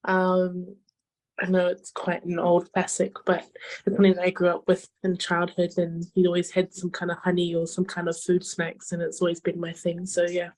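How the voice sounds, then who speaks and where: Female meeting participant, lower register, informal self-introduction. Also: monotone, normal speed, darkish tone, low-quality recording.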